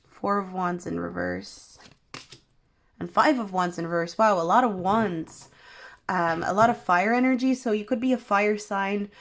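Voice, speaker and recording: A young woman reading tarot cards for her viewers, her voice worn and hoarse from being unwell, talking in a soft, meandering way with many pauses. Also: mid-range voice. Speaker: tarot reader. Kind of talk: pick-a-card tarot reading.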